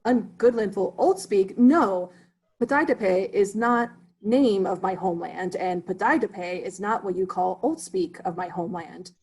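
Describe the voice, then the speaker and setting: A man reading a formal, breathy immigrant teacher. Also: sits high, a little mellow, heavily acted, poor audio. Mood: earnest. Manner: formal, drops articles, precise and measured. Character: erudite immigrant male substitute teacher, formal. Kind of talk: amateur play reading.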